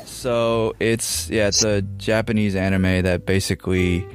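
A man's voice: fairly low pitch, fairly even intonation, measured pace, faint music behind. A man hosting a podcast in a casual, conversational discussion style. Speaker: podcast host.

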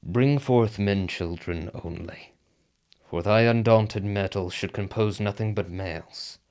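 An actor playing a brooding, conflicted nobleman with deliberate, theatrical verse delivery in a Shakespearean radio drama. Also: on the low side, a little mellow. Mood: resolved.

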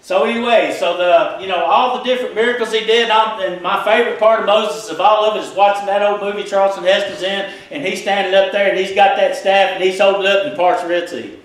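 A middle-aged man, a pastor preaching a folksy, animated sermon in a small church. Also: sits high, brisk, hardly any pauses. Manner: folksy, animated, gesturing widely while preaching. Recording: echoey church room.

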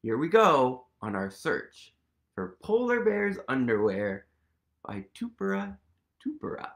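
A man in his thirties reading a picture book aloud to young children, expressive and full of questions for his listeners. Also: a little high, takes it slowly, creaky. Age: 30s. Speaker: host, museum literacy educator reading aloud. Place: indoors, small quiet room at home.

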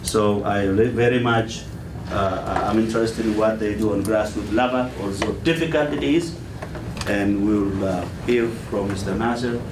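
A man's voice: lowish voice, steady tone, unhurried, background noise. A man introducing a guest speaker to his class, hesitant, with many fillers. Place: classroom with a projector screen. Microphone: far camera. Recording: echoey classroom from far off.

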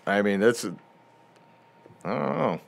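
A man's voice: lowish voice, takes it slowly, vocal fry. A man from Montana with a northern US accent, co-hosting a podcast in casual, joking chat. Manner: animated, joking, swears casually. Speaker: podcast co-host.

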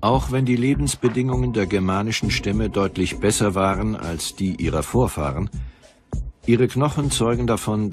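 Tiefer Dokumentarsprecher mit Musik. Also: male voice, low-pitched. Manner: measured, factual. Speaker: documentary narrator. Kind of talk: scripted documentary narration.

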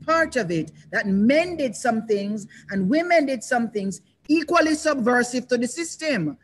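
An older woman moderating a panel discussion over a video call, speaking in a reflective, measured way with pauses and restarts. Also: fairly high pitch, lively intonation. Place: indoors, in front of a banner.

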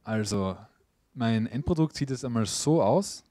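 Male interpreter, steady delivery, dry close sound, mid pitch. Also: measured pace, dry recording. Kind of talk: consecutive interpreting into German.

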